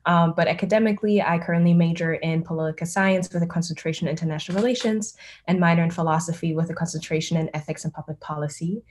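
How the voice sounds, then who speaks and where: A woman's voice: fairly low pitch, fast talker, a little mellow, a little hiss or hum. A young woman from Germany introducing herself on a student panel over a video call, chatty with many fillers. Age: young adult. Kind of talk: casual self-introduction.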